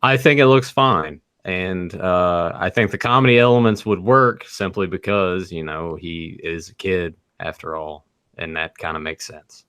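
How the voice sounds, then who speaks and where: A man hosting a casual movie podcast, giving low-key, somewhat hesitant opinions. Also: unhurried, a bit bright, clear, resonant tone, no echo, studio quality. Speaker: podcast host.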